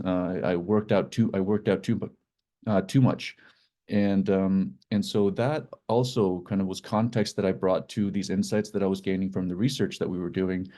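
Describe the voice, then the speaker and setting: Male guest founder. Deep, mellow voice, informal explainer who gropes for words and repeats himself. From Iceland. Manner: hesitant, halting delivery with repeated words. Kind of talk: informal explanatory talk about own work.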